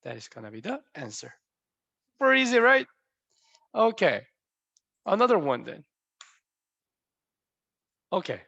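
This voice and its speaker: Lively high-voiced Korean man teaching. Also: measured pace, vocal fry, pauses often, dry recording, highs cut off. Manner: playful, quizzes viewers with repeated questions. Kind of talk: online language lesson.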